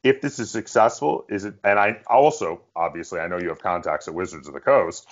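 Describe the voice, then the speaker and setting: A man with a fairly low voice hosts a casual interview. He phrases his questions in a wordy, roundabout way, and the sound is a little dull and lo-fi. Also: brisk. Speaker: interviewer, show host.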